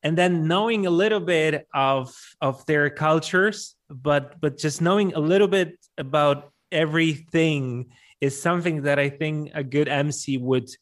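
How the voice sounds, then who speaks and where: A man's voice: on the high side, unhurried. A man with a Polish accent answering interview questions conversationally, often repeating words as he works out his explanation. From Poland. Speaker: event MC, interview guest.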